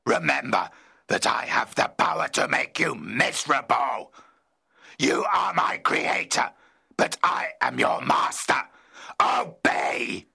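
A man voicing a huge, bitter male monster in an audiobook, speaking slowly and menacingly with a rough, raspy voice. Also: mid-range voice, animated, bright, forceful tone, voice pushed up, exaggerated voice. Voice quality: gravelly raspy rasp. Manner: slow, heavy, threatening delivery. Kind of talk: audiobook reading.

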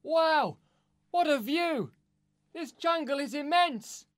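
A man voicing an eager, resourceful boy in a dramatised audiobook, with an excitable, expressive delivery. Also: high-pitched, measured pace, dry recording, clean studio sound, heavily acted. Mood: excited, amazed.

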